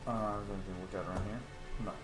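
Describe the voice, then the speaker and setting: A man's voice: sits low, little pitch movement, very fast, over music. A man casually chatting to viewers while playing a roleplay game, rambling and swearing freely. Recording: echoey room, faint hiss.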